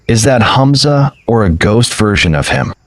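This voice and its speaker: A man's voice: fairly low pitch, expressive, moderate pace, no echo. A man playing a joking, dramatic schoolboy friend in a cartoon story, teasing and slangy.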